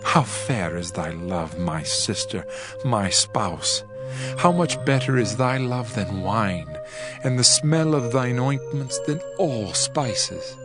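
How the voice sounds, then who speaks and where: A man playing an adoring bridegroom king in a dramatized scripture reading, solemn, tender and deliberate. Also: mid-range voice, expressive. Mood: enraptured. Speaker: actor reading dramatized audio Bible. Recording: music bed behind the voice.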